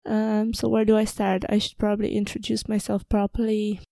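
A woman's voice: mid-range voice, monotone, fairly quick, vocal fry, warm tone, no echo. A young woman from the Republic, hosting a casual personal podcast in a slightly nervous, hesitant, informal manner. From Czech Republic, moved to Ireland at twelve. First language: Czech. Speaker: podcast host.

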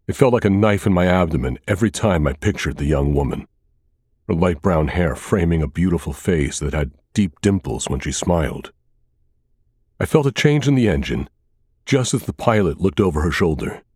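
A man reading a scripted first-person thriller as an audiobook narrator, with a measured, dramatic delivery. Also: low voice, a little mellow, husky, no room sound, clean studio sound.

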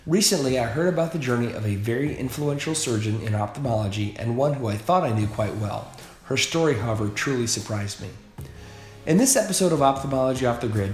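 A man hosting a podcast, reading a scripted introduction and then chatting with a guest, with some hesitant fillers. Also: medium pitch. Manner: warm friendly host, hesitant with fillers when unscripted. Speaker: podcast host.

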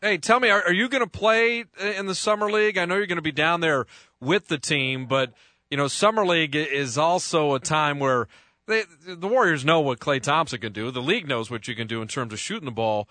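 A man's voice: fairly high pitch, fairly quick, bright, forceful tone, dry recording. A man hosting a sports radio interview in a studio, chatty and joking with lots of fillers.

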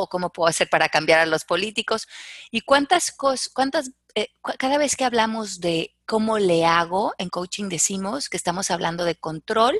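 A woman's voice: lowish voice, fairly bright tone, no echo. A woman with a Mexican accent speaking as a life coach, explaining self-help ideas in an emphatic, didactic way with examples.

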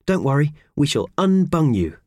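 A man voicing a small, earnest, practical creature in a children's story, speaking in a brisk explanatory way. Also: a little high, very animated, darkish tone, no echo, studio quality, pitched above the performer's own voice. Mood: earnest, helpful.

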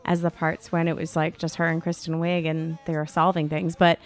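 A woman's voice: deep voice, level tone, brisk, faint music behind. A woman co-hosting a podcast in loose, casual conversation, often saying "like". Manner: conversational, peppered with "like". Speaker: podcast co-host. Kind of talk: casual chat.

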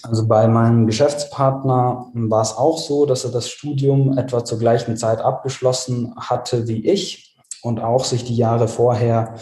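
A man's voice: medium pitch, flat delivery, measured pace, mellow, roomy sound. A man, answering interview questions steadily with many fillers. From South Tyrol, Italy. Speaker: podcast guest, agency founder.

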